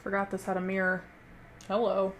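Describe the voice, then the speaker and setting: Hoarse woman w a cold just chatting thru her makeup at home, kinda rambly. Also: medium pitch, monotone, fairly quick, poor audio. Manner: chatty, rambling, many ums. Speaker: beauty vlogger. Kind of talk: casual makeup tutorial chat.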